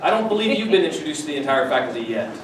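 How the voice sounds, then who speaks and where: A man's voice: on the high side, big pitch swings, very fast, very noisy. A man, a school administrator, making informal announcements to colleagues at a staff meeting. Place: large room at a school faculty meeting. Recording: very echoey room, distant mic, audience murmur.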